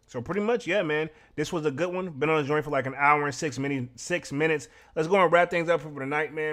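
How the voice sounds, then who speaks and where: A man's voice: fairly high pitch, a bit bright. A man hosting a podcast livestream, talking casually and conversationally with slangy asides. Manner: conversational, slangy, frequent "you know" asides. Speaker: podcast host and streamer. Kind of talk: casual livestream recap and sign-off.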